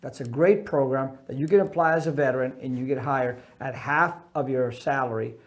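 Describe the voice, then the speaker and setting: A middle-aged man from Ecuador coaching veterans in a seminar talk, earnest and emphatic, his voice swinging between loud and soft. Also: mid-range voice, brisk. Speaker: military transition coach.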